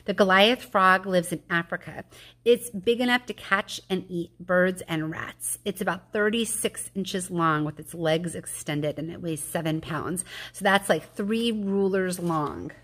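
A woman's voice: lowish voice, unhurried, a bit bright. A woman reading a children's picture book aloud like a teacher, explaining things with informal asides and questions to her listeners.

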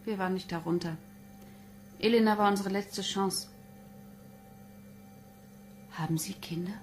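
An actress playing a bitter, defensive wife under questioning in a crime radio play, speaking curtly. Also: female voice, mid-range voice, steady tone, unhurried, faint music behind, natural voice. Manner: curt, defensive. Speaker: actor in a crime radio drama. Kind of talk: German radio play acting.

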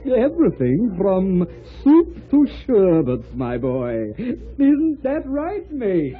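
An actor playing a courtly, befuddled talking soup spoon who stammers and twists words, in old-time radio comedy style. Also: male voice, high-pitched, huge pitch swings, takes it slowly, heavily acted. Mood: chatty, flustered. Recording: tinny, noisy old radio recording.

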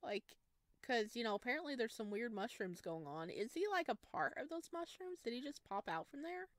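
A woman's voice: fairly high pitch, sing-song, normal speed, dry recording. A woman doing a visual novel let's play, reading aloud and reacting in a chatty, playful, giggly way. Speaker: game streamer.

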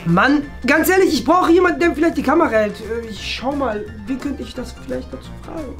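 A man in his twenties performing a playful comedy skit with an exaggerated, expressive delivery. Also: extremely high pitch, brisk, music in the background. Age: 20s. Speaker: skit performer in a shared-flat video series. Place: small indoor rooms of a flat.

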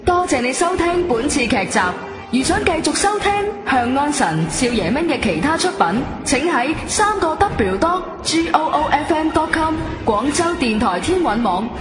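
A woman with a Cantonese accent reading a scripted promotional announcement, recorded with reverb. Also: sits high. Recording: echoey reverb, music behind.

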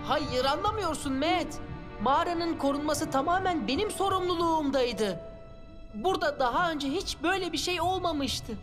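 A woman's voice: high-pitched, expressive, moderate pace, music in the background. A woman playing an anxious, earnest young boy guardian in a dubbed children's cartoon, fussy and dramatic. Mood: horrified, distressed. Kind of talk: dubbed children's animated adventure.

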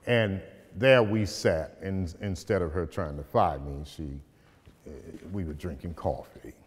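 A middle-aged man telling stories and reciting his poetry with slow, deliberate phrasing and dramatic pauses, recorded by a distant camera in a large hall. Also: sits low, slightly rough. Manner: slow, deliberate, dramatic pauses, rising to loud emphasis in verse. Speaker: poet and community speaker. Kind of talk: storytelling talk and spoken-word poetry. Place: large bright hall with tall arched windows, indoors. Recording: hall reverb from a large room.

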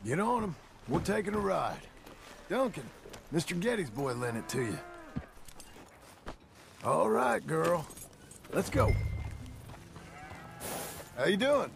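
An actor playing a stern but caring former outlaw turned rancher father in a video game, gravelly and terse with rural plain speech. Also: fairly high pitch, big pitch swings, slow pace, long pauses, noisy background, heavily acted. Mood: gruff coaching. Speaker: game character. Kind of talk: video game cutscene acting.

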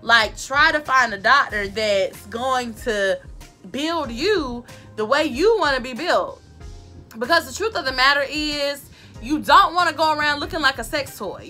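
A sassy woman vlogger, talking straight to the camera from her bedroom. Her voice is loud, bright and a little high, and she hammers her points home by repeating them. Faint music plays underneath. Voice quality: loud voice that carries. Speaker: vlogger giving advice. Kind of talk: casual advice talk to camera. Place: bedroom, indoors.

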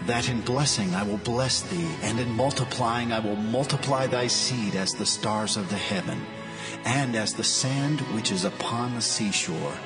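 A man playing a solemn angel of the Lord, proclaiming in a measured, grand scripture-drama manner. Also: lowish voice, natural voice. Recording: long heavenly reverb, music behind.

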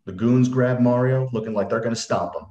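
A man's voice: medium pitch, a little fast, dark tone, dull audio. A man reading screenplay stage directions as narrator in a scripted reading. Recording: roomy echoing computer mic.

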